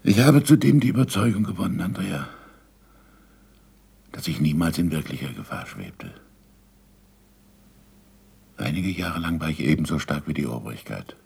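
An actor playing a weary, bitter old scientist in a 1960s German radio play, speaking in formal, deliberate, sardonic phrases with a husky voice. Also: male voice, rumbling low, big pitch swings, darkish tone, heavily acted. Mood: grave self-accusation. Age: older. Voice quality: gravelly, husky, breathy.

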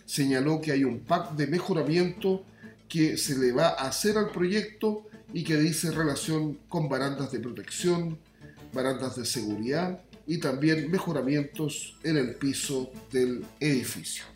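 A Chilean man reads a scripted radio bulletin as its presenter. His voice sits a little high, and it rings with clear room echo over a music bed. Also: unhurried.

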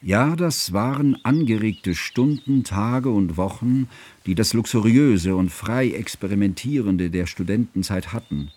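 An actor in a radio drama playing a devoted scholarly friend who narrates his memories in a measured, formal, reflective way. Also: lowish voice, expressive, darkish tone. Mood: wistful, reflective.

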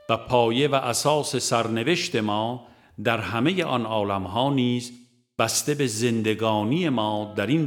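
A man narrating a spiritual audiobook in a measured, solemn way, phrase by phrase. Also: mid-range voice. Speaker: audiobook reader. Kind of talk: scripted devotional narration.

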